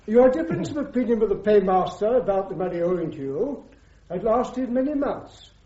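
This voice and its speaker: Formal judge advocate in a radio play, high pitched, precise, muffled recording. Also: male voice, animated, fairly quick, mellow. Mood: formal, questioning. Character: courteous, exacting court-martial judge advocate. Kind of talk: radio courtroom drama acting. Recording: dull, echoey radio drama recording.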